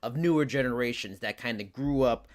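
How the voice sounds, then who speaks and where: A man's voice: medium pitch, brisk. A man hosting an interview, asking friendly questions with frequent stammered restarts. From United States. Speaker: interviewer, host.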